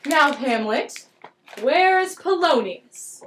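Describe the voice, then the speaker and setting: A high voice rings in an echoey room as an anxious king played by a woman gives orders. The delivery is declamatory, in old verse, as in a homemade puppet-show staging of Shakespeare. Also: expressive, takes it slowly, a bit bright, slight background noise, exaggerated voice. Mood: worried, stern. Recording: echoey room, amateur recording.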